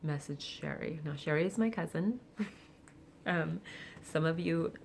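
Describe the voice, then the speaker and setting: A woman of forty speaking candidly and reflectively in a personal vlog, with soft laughs and hesitant fillers. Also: low-pitched, a little slow, gravelly, slight background noise. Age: 40s. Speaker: vlogger. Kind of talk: personal confessional monologue.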